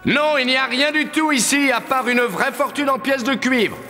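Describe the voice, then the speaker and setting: An actor playing a hungry young soldier, wary but good-natured, in an animated fairy tale, with expressive exclamations. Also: male voice, extremely high pitch, moderate pace, music in the background. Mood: amazed, excited.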